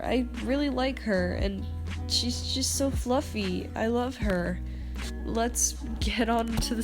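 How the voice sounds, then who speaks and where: A woman with a stuffy nose from a cold, chatting casually and rambling about her drawings, laughing often. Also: on the high side. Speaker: artist presenting her character designs. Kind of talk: casual vlog narration over drawings. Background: background music.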